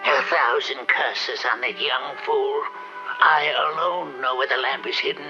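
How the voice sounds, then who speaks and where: A man's voice: mid-range voice, fairly even intonation, a little slow, close to an everyday voice. A man voicing an imperious, scheming evil sorcerer in a 1970s cartoon dub, with grand, menacing delivery. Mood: gloating. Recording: dull lo-fi old TV soundtrack, music behind.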